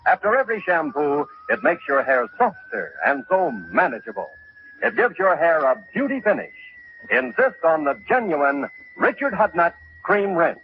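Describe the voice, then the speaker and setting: A man's voice: high-pitched, very animated, moderate pace, vocal fry, noisy background. A man announcing and selling in the style of 1950s radio commercials. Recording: muffled old radio with music behind. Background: music.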